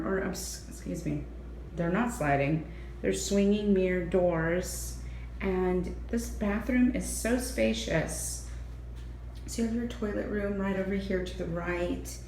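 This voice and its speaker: A young woman giving an excited, chatty hotel room tour, her voice a little hoarse and raspy, filmed on a handheld phone. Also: lowish voice, slight background noise. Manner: bubbly, excited, chatty with fillers. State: slightly hoarse, lost voice. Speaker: travel vlogger. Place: hotel room and ground-floor patio. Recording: roomy echo, faint ambience.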